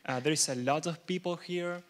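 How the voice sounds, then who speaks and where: A young man from Argentina, a native Spanish speaker with an Argentine accent, giving a nervous, halting conference keynote in a large hall. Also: on the high side. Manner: nervous, halting, many restarts.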